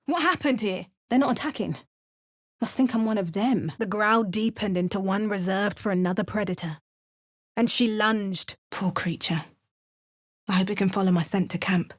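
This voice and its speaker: A woman's voice: mid-range voice, moderate pace, natural voice. Actress as a young woman adventurer for a fantasy game, dry wit, wry remarks. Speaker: player character adventurer. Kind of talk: game voice lines.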